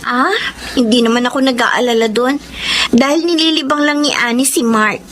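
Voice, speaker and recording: A woman's voice: sits high, moderate pace, a bit bright, slight background noise. A woman playing a sweet, hopeful, trusting young woman in a Filipino radio drama. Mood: earnest, hopeful.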